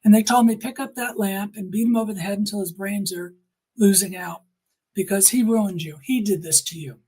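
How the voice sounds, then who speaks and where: Older woman with a husky voice. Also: medium pitch, a little fast, dark tone, poor audio. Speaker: interview guest. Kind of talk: personal testimony in conversation.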